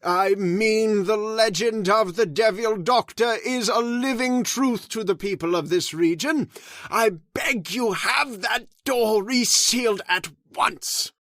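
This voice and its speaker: A man playing a solemn caretaker who slowly tells a dark family legend in an old-time radio drama. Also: high-pitched, no echo, clean studio sound, exaggerated voice. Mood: alarmed. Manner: slow, ominous, measured delivery. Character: grave, solemn male storyteller of the house. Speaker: Mister Roberts, old manor caretaker telling the legend.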